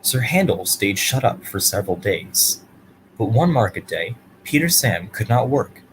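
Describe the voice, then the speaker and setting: Lower-pitched male storyteller, noisy recording. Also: very animated, unhurried. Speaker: storyteller and host. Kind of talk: reading a children's bedtime story aloud.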